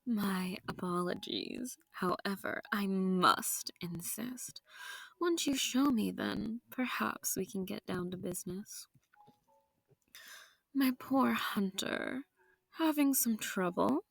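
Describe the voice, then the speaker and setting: A woman's voice: medium pitch, takes it slowly, vocal fry, dry recording. A woman playing a seductive, sadistic vampire noble in an intimate audio roleplay, genteel and teasing with menace, often sinking into whispers. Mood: coaxing, predatory. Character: seductive, sadistic, possessive female vampire noble hosting her foe.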